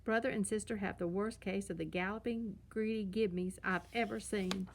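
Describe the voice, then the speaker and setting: A woman voicing an angry father bear in a children's storybook, loud, emphatic and indignant as he scolds his cubs. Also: mid-range voice, fairly even intonation, moderate pace, lightly acted. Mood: outraged. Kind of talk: children's storybook read-aloud.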